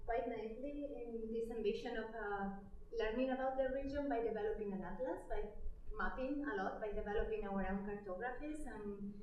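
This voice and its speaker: A woman with a strong Spanish accent talks through her ideas on a panel. She restarts phrases while she finds her words. Her slightly high voice comes from some way off the mic and rings around a very echoey hall. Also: a little mellow, recorded from a distance, a little hiss or hum. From Spain. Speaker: panelist, co-author. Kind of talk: panel discussion remarks. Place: lecture hall.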